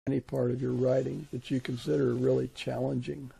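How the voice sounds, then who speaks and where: A man chatting casually in an interview, speaking in a hesitant, reflective, measured way. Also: mid-range voice, level tone, gravelly, very noisy.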